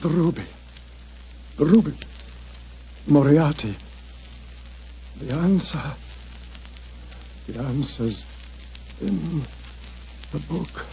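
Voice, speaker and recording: A man's voice: a little high, animated, very slow, creaky, pauses often, warm tone, exaggerated voice. An actor playing a desperate, dying nobleman, weak and gasping, in a 1940s radio melodrama. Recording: muffled, noisy 1940s radio recording.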